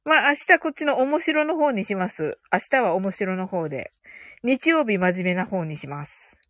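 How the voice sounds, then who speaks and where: A woman's voice: mid-range voice, lively intonation, moderate pace, fairly bright tone, no echo, clean studio sound. A woman hosting a casual live audio stream, thinking aloud in a giggly, cheerful way as she chats and reads out comments.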